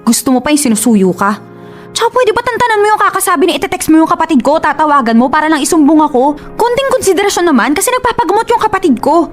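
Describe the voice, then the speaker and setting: An actress playing a conflicted young woman in a Filipino radio drama, protective of her sister, emotional and quick to scold. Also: extremely high pitch, lively intonation. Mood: exasperated, scolding. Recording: music under the voice.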